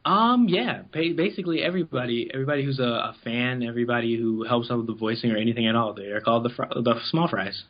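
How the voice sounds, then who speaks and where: A man of average pitch talks casually as a guest in an interview. He rambles through stories and acts out quoted remarks. The recording is dull and low in fidelity. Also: fast talker, hardly any pauses. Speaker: interview guest, amateur voice actor in an abridged anime series. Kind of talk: casual interview chat, telling anecdotes.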